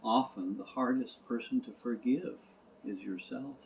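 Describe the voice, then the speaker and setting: A man acts out a little storybook mole with a kindly, wise temper. The delivery is slow, gentle and earnest, full of simple encouragement. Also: medium pitch, creaky, slight background noise, poor audio. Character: small gentle cake-loving male mole, kindly and wise.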